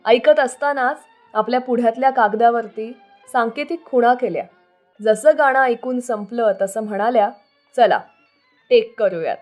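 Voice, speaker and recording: A woman's voice: a little high, moderate pace, a little mellow. A woman hosting a radio show, narrating scripted stories about old songs. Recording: music playing under the voice. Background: background music.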